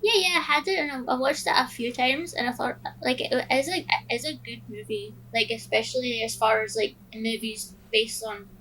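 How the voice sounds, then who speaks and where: A woman's voice: on the high side, fairly even intonation, normal speed, hardly any pauses, bright, forceful tone, a little hiss or hum. A young adult woman in casual podcast chat, with hesitant, filler-heavy phrasing. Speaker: podcast panelist.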